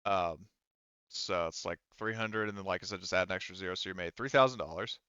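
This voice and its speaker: A man runs a tabletop game in casual talk. His voice is bright and smooth, and he works things out aloud in a halting way. The sound is close and dry, with a slightly dull, lo-fi edge. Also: low voice, no echo. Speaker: game master.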